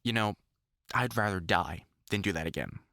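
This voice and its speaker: A man playing an older, experienced vampire hunter in an intimate audio roleplay, talking to the listener in a halting, wry, earnest way. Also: lowish voice, animated, sharp tone, no echo, studio quality. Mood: tender, remorseful. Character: gruff, caring older experienced male vampire hunter, mentoring.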